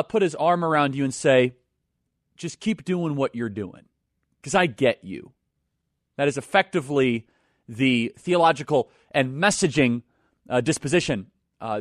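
Indignant talk-show host, dry close sound. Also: male voice, medium pitch, fairly bright tone, dry recording. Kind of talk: opinionated talk show commentary.

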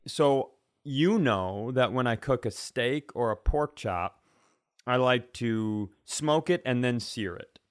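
An American man with a mid-pitched voice co-hosts a podcast. He spins casual, funny stories, wandering through them and putting himself down as he goes. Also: animated, slow, clean tone. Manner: self-deprecating, rambling. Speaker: podcast co-host. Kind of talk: casual comedic storytelling.

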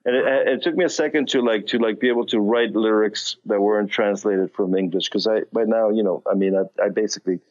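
A man's voice: fairly low pitch, steady tone, a little fast, hardly any pauses, a little mellow, dull audio. A man with a light non-native accent, talking as a musician guest in a casual interview, rambling with many restarts and fillers.

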